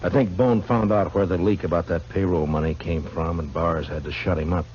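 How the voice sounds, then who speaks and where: An actor playing a grim, laconic frontier marshal in a 1950s radio western, speaking in a dry, deliberate way on an old recording. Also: male voice, deep voice, steady tone, darkish tone, muffled recording, slight background noise. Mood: grim, resolute.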